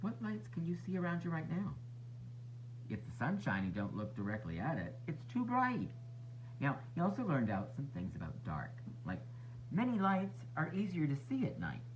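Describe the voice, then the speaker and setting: A man narrating a children's storybook as a teacher would, expressive and instructive for young listeners. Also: on the high side, normal speed, highs cut off, slight background noise. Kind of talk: children's read-aloud narration.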